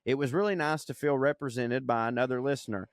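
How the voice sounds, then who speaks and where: Podcast co-host, a man, reading listener mail with a smooth voice and a dry close sound, profane and jokey. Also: medium pitch, fast, no echo. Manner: profane, laughing, reads aloud with asides. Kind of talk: casual chat reading listener email.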